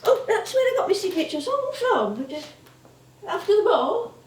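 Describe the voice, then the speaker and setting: A woman's voice: very high voice, sing-song, normal speed, a little mellow. An actress playing a chatty, nostalgic older comedienne with a Northern English accent, rambling and exclaiming as she tells stories on stage. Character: veteran comedienne, chatty, nostalgic older music-hall woman. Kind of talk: theatrical stage monologue and dialogue. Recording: echoey theatre stage from far off.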